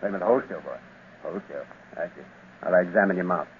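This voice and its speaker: A level-headed adventurer who talks low and steady, with a dry edge. The sound is an old, tinny radio transcription with faint hiss behind it. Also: male voice, fast. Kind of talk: 1930s radio serial acting.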